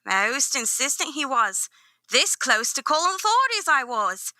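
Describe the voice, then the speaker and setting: A woman's voice: high-pitched, big pitch swings, moderate pace, bright, forceful tone, dry recording, full character voice. A woman acting a deferential, chatty London housekeeper in a radio play, with folksy turns of phrase. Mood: fussing.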